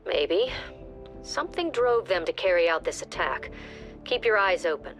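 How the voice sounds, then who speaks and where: An actress playing a composed woman commander, giving scripted mission briefings with measured authority in a tactical game. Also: on the low side, animated. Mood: composed, authoritative. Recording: background music under voice.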